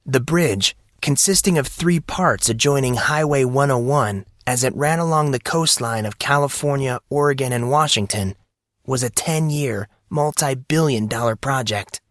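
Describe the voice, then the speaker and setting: Guy acting as a serious documentary voice-over man in an audio drama, formal, measured, mid pitch, dry sound. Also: fairly bright tone, no room sound, natural voice. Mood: grave, formal. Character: documentary news narrator, formal and grave, male.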